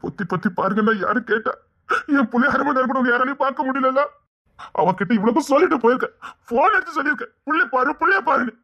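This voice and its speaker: A man's voice: very high-pitched, lively intonation, fast talker, pushed and bright, highs cut off, exaggerated voice. An actor playing a grieving father who weeps and pleads for his missing child, repeating himself over and over. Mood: distraught. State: crying. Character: grief-stricken father, desperate and stubborn. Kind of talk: tearful serial drama acting.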